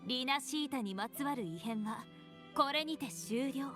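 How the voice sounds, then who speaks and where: Theatrical high-voiced bard played by an actress. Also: female voice, fairly quick, music in the background, close to an everyday voice. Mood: dramatic narrating. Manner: theatrical, lyrical. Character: theatrical female bard recounting heroic legends. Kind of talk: dramatic storytelling in a video game.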